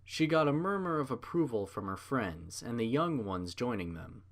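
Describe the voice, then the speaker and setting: A man's voice: medium pitch, expressive, moderate pace. A man reading a story aloud as narrator, in a scripted storybook style.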